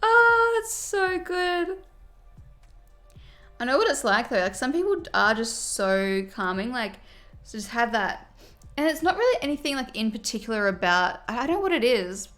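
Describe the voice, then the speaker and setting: A woman's voice: high-pitched, very animated, moderate pace, fairly bright tone, faint music behind. A woman streaming casually while doing her makeup, reading viewer comments aloud and reacting to them in a chatty, friendly way.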